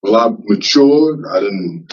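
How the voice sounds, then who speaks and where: A man in his fifties at a parole hearing, speaking haltingly with many fillers and repeats. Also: fairly low pitch, lively intonation, husky. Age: 50s. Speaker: parole applicant. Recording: muffled distant remote-call audio.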